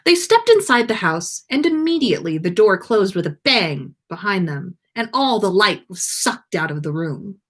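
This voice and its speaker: A woman reading fan fiction aloud as a podcast host, in an expressive narrating style. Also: medium pitch, moderate pace, hardly any pauses. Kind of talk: expressive story narration on a livestream.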